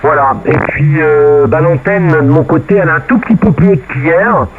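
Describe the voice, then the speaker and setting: A man's voice: fairly high pitch, lively intonation, normal speed. A man chatting casually and colloquially over amateur radio, often repeating his phrases. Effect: radio transmission. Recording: narrow, noisy radio transmission. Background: faint radio noise.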